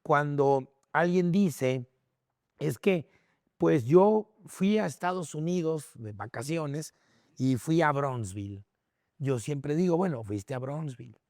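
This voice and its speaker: Man, Mexican accent, slightly high voice, didactic, conversational podcast guest, dry close sound. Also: lively intonation, slow pace, a little mellow, no echo. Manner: didactic, checks in with short tag questions. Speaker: guest expert on history. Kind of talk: conversational explanation in a podcast interview.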